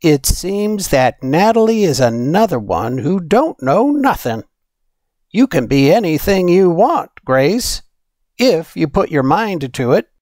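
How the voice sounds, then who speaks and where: A man's voice: fairly high pitch, big pitch swings, slow, darkish tone, no echo, clean studio sound, voice pushed up. A man voicing a proud, encouraging old Trinidadian grandmother in a storybook, folksy and emphatic. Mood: firm, encouraging.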